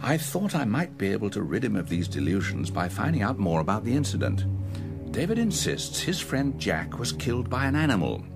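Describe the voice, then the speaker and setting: Gravelly, low-voiced doctor. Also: male voice, huge pitch swings, a little fast, exaggerated voice. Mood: grave, blunt. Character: brusque, hesitant senior hospital doctor. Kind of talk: horror radio drama acting. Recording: music behind the voice.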